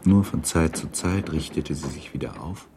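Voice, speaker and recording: Low-pitched male radio play narrator. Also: moderate pace, gravelly, mellow, slight background noise.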